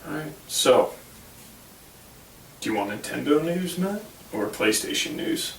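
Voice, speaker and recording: A man co-hosting a gaming podcast, hoarse from losing his voice, blunt and dismissive as he reads out news. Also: on the high side, lively intonation, a little slow, echoey room, distant mic, a little hiss or hum, low-quality recording. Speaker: podcast co-host. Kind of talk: casual gaming podcast chat.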